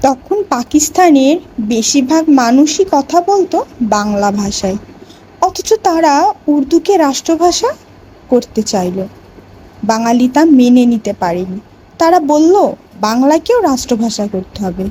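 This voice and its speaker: A woman playing a schoolteacher in an educational cartoon, narrating a lesson and quizzing pupils in a deliberate, instructive way. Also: high voice, very animated, dark tone, slight background noise, poor audio. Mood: instructive, solemn. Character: female schoolteacher telling a history lesson to children. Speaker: teacher.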